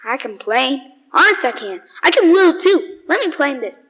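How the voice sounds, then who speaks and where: A woman's voice: high-pitched, big pitch swings, normal speed, a bit bright, exaggerated voice. A woman playing an earnest, scrappy little boy with folksy, ungrammatical speech in a radio drama. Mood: earnest, wistful. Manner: folksy ungrammatical child talk. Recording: echoey stage or hall.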